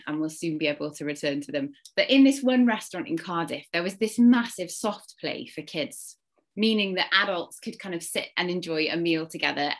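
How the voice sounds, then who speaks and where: A woman from Wales with a slight Welsh accent, giving an earnest, expressive Easter talk to a church congregation. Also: on the low side. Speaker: church speaker.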